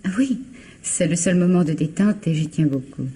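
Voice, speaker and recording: A woman's voice: on the low side, normal speed, mellow, a little hiss or hum, lightly acted. A woman playing a guarded, formal, evasive sister of a victim in a radio mystery drama.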